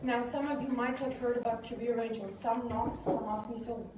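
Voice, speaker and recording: A woman's voice: a little high, fairly even intonation, normal speed. A middle-aged woman from Austria giving a career lecture to a live audience, chatty and prone to fillers and self-corrections. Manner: conversational, frequent fillers, rephrases herself. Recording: echoey room, distant mic, background hiss.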